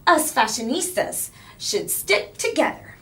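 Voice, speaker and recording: An excitable, fashion-loving schoolgirl from a children's storybook, as a woman reader puts her on. The pitch runs high and swoops widely. She gushes and exclaims brightly at everything. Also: measured pace, a little hiss or hum, heavily acted. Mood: gushing, delighted. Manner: bright, exclaiming, squealing and gasping. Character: bubbly, gushing, fashion-loving new schoolgirl. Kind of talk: children's storybook read-aloud.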